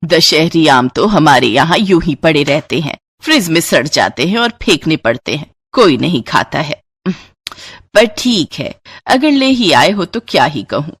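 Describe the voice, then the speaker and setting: Woman playing a husky, carping mother-in-law. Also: low voice, very animated, a little slow, no echo, full character voice. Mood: scornful. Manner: carping, sarcastic, dismissive. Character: stingy, bitter, scolding middle-aged mother-in-law. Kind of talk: moral story dialogue.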